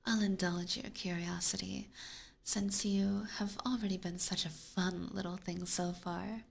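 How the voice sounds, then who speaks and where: A woman's voice: medium pitch, steady tone, a little slow, vocal fry, husky. A woman playing a haughty, teasing, predatory vampire in an ASMR roleplay, whispering breathily with formal, condescending diction close to a binaural microphone. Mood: seductive, indulgent.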